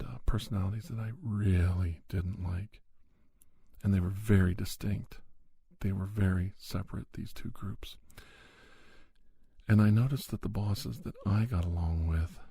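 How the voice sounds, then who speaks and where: Slow, gravelly low-voiced ASMR man. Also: warm tone, no echo. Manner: slow, halting, reflective delivery. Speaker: podcast host playing a conversation counselor. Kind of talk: soft-spoken ASMR monologue.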